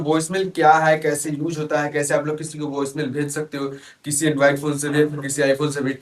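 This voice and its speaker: A man giving a casual step-by-step phone tutorial, addressing viewers as friends and often checking they follow. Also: fairly high pitch. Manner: repetitive tag questions, addresses viewers as friends. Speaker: tech tutorial host.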